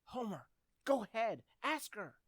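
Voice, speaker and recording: A woman's voice: on the high side, sing-song, measured pace, no echo, clean studio sound, heavily acted. Woman playing an eager, swooping teenage boy. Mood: urgent. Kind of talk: old-time radio comedy acting.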